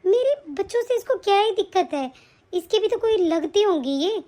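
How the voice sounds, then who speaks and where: A soft-hearted old grandmother who frets and speaks gently and slowly to her family, as a woman acts her out. Her voice sits high and a little strained. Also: lively intonation. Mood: fretful. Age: older. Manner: gentle, fretful. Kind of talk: comic family skit acting.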